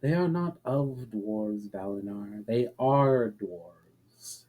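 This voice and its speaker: Brief: male narrator plays elven woman, smug and testing, grave measured delivery, soft-edged mellow tone, fantasy audiobook. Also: on the low side, lively intonation, voice pushed down. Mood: grave, explaining. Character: composed elf woman, knowing, testing, faintly smug host.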